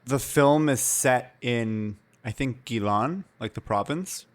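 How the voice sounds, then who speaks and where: A man's voice: medium pitch, takes it slowly. Thoughtful man from Canada, co-host. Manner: thoughtful, reflective, hesitant. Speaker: podcast co-host. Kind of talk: casual chat.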